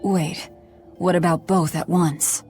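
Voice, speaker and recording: A hardened young demon woman with a lowish voice. She is stern, curt and cold, in video game dialogue with music underneath. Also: steady tone, slow, darkish tone. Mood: curt, determined. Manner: blunt, cold, dismissive. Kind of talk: English video game voice acting.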